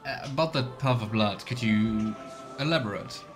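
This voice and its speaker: A man's voice: fairly low pitch, normal speed, music in the background. A man giving casual, chatty let's play commentary with playful sarcasm. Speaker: livestreamer playing a video game.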